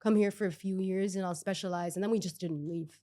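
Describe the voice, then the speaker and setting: A young woman with a softened Irish accent, co-hosting a podcast in a relaxed, dry-humored way. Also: on the low side, fairly quick. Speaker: podcast co-host. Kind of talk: casual chat.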